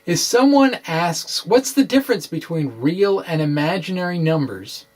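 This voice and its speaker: A middle-aged man giving a dramatic reading of a science explainer, with deliberate, halting, theatrical phrasing, close to the microphone in a small room. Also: on the high side, expressive, darkish tone. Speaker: science blogger presenting. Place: small indoor room with bookshelves. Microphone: microphone close in front on a stand.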